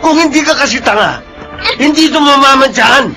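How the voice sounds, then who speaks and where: A man's voice: extremely high pitch, brisk. A man playing a selfish, hot-tempered, demanding boyfriend in a radio drama. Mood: angry, contemptuous. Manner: scolding, demanding, belittling. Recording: radio drama with background music. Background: music.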